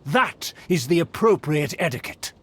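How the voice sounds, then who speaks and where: Pompous, haughty nobleman, very animated. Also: fairly high pitch, normal speed, a little hiss or hum. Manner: pompous, formal, condescending. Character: haughty, disdainful male aristocrat of a declining noble clan. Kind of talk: video game character dialogue.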